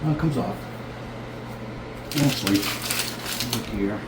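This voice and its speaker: Easygoing man, slightly low voice, casual house tour host. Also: slow pace, noisy background. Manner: relaxed, joking, filler-heavy. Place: old house under renovation, indoors.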